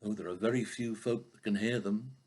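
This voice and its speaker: A man's voice: fairly low pitch, steady tone, a little fast, a little mellow, slightly rough. An older man, a grandfather, reading a children's story aloud expressively, now and then stumbling over a word.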